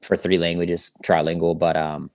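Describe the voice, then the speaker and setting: A man's voice: deep voice, level tone, moderate pace, a little mellow, no echo, studio quality. A man chatting casually as a musician guest in an interview, with laid-back, slangy phrasing. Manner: laid-back slangy talk, frequent "you know".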